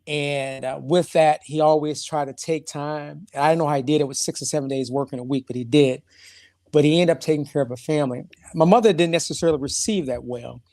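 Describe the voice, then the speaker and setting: A man from St. Louis calls into a show and talks reflectively, pausing and restarting often. His voice is a little higher than most men's. The sound is dry and close, with no room echo. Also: a little mellow. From St. Louis, USA. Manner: reflective, halting with fillers. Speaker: caller. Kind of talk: call-in question.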